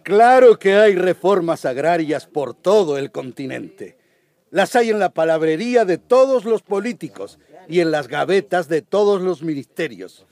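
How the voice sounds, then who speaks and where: Man acting a sardonic high-voiced lawyer. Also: animated, unhurried, a little mellow. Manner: sarcastic, rhetorical. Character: sardonic male lawyer arguing before a judge. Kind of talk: radio drama acting.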